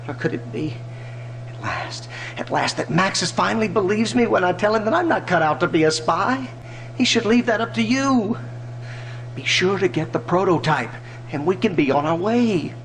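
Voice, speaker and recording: An actor playing a sarcastic, peevish captive scientist in a video game, querulous and theatrical in manner. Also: male voice, high-pitched, fairly bright tone, a little hiss or hum, poor audio.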